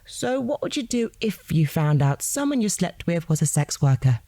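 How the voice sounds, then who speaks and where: An actress playing a shrewd, composed police detective who questions a suspect in a controlled, insistent way in an audio drama. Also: female voice, fairly low pitch, very animated, moderate pace, dark tone, dry recording. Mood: cool, provoking.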